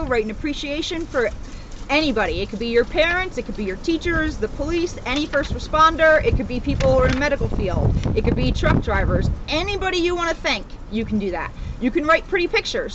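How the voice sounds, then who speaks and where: Lady cop doing storytime for the community, really lively high voice, kinda muffled audio. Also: a little hiss or hum. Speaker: police officer reading a children's book to the community. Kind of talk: storybook read-aloud and informal community message.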